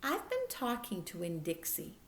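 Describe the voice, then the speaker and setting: An earnest, lonely little girl of ten, played by a woman reader, confiding in a storybook. She speaks slowly and emphatically, with a slight lift above the narration, in an echoing room. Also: on the low side, wildly swooping pitch, a little hiss or hum, exaggerated voice. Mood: earnest, pleading.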